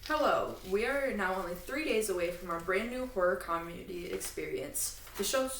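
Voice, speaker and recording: A woman's voice: medium pitch, expressive, normal speed, a bit bright. A woman co-hosting a casual podcast, explaining things in an informal, fannish way with trailing asides. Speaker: podcast co-host. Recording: echoey room, distant mic, faint noise.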